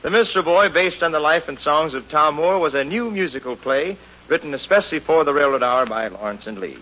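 A man's voice: on the high side, expressive, fairly quick, dull audio. A man hosting and starring in a 1950s radio musical play, with a genial, polished showman's delivery, on an old radio transcription. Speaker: host and star singer. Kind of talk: 1950s radio drama and announcing.

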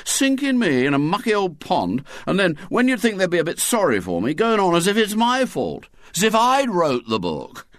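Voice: mid-range voice, wildly swooping pitch, moderate pace, few pauses, no echo, clean studio sound, voice pushed up. A storyteller voicing a grumpy, self-pitying schoolboy who blusters indignantly and stammers when flustered. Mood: aggrieved.

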